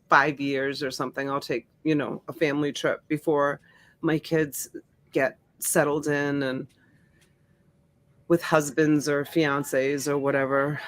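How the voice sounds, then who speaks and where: A woman's voice: low voice, a bit bright. A middle-aged woman whose first language is Italian, hosting a live-stream auction and chatting casually with viewers, greeting them by name with plenty of fillers. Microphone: USB desk mic.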